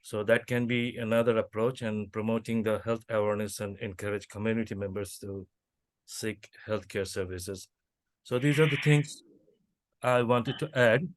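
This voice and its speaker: A man's voice: on the low side, fairly even intonation, normal speed. A man from Bangladesh, making points on a workshop video call and often hesitating and restarting. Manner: hesitant, many fillers and restarts. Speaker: workshop participant.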